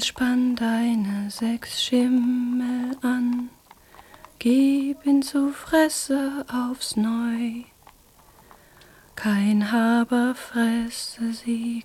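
A woman's voice: on the high side, warm tone, husky, exaggerated voice. An actress in a radio drama playing an anxious, poor young mother with plain folksy speech that often drops to a whisper. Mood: tender, singsong. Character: anxious poor young mother tending her little boy.

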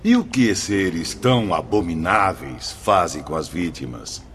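An appalled visitor in a Brazilian radio play. His low voice swoops dramatically as he questions, with faint noise behind. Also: sing-song, a little slow, fairly bright tone, full character voice. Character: appalled male visitor questioning spirit guides.

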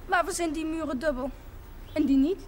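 An actress playing a plucky, frank sixteen-year-old daughter in a television period drama. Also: female voice, high voice, a little fast, a little mellow, lightly acted. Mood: doubtful, curious. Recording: faintly noisy 1970s television soundtrack.

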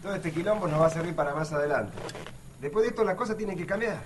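A friendly working-class Argentine comrade, played by a male actor in a radio drama militia. He talks fast in slangy Rioplatense, a little high, over clear background noise. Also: lightly acted. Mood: friendly, hopeful. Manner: colloquial, slangy. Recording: noisy background.

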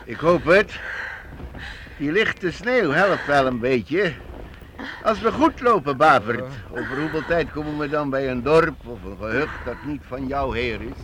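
An actor playing a seasoned wandering master in a radio play, a wry and commanding leader who speaks emphatically. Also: male voice, a little high, animated, unhurried, a crowd in the background, poor audio. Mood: steady. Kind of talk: radio drama acting.

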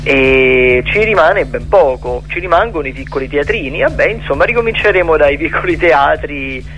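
A man chatting about cultural listings on the radio, with hesitant, drawn-out phrasing. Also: on the high side, lively intonation, a bit bright, muffled recording, faint music behind.

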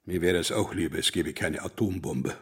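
An actor in a radio drama playing a stern, impatient Cold War scientist, curt and husky. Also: male voice, low-pitched, normal speed, fairly bright tone. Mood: impatient, grim.

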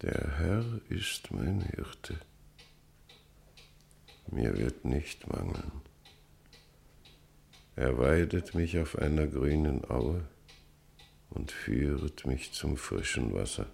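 An actor in a German radio play playing a grave, anguished father who speaks solemnly and haltingly. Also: extremely low pitch, lively intonation, gravelly, darkish tone, heavily acted.

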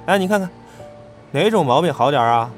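Casting: flippant young idol played by a man, slightly high voice, highly expressive teasing, audio drama. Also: a little fast, faint music behind, close to an everyday voice. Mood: bantering, mischievous. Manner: playful, bantering. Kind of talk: Chinese audio drama dialogue.

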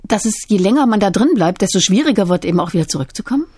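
A woman's voice: mid-range voice, lively intonation, rapid-fire, mellow, slightly rough, no room sound, studio quality. A woman hosting a literary radio interview in an engaged, analytical way.

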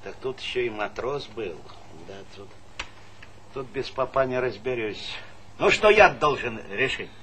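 Harried village boss, slightly noisy recording. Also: male voice, mid-range voice, expressive, measured pace, fairly bright tone. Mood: exasperated. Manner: folksy, commanding, repeats himself impatiently. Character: harried, paternal middle-aged village boss, placating. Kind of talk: theatrical comedy stage acting. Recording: noisy 1970s stage play recording.

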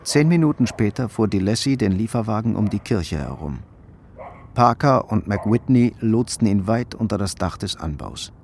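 An actor playing the sober narrator of a radio crime drama, telling events in a measured, factual way. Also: male voice, lowish voice, lively intonation, darkish tone, a little hiss or hum.

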